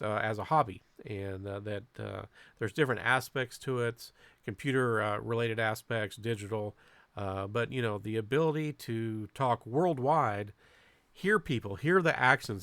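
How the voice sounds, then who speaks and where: A man giving a casual, unscripted club video narration as presenter, in a halting way with frequent pauses and repeated words. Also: on the low side, fairly bright tone, dry recording. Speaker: amateur radio club presenter.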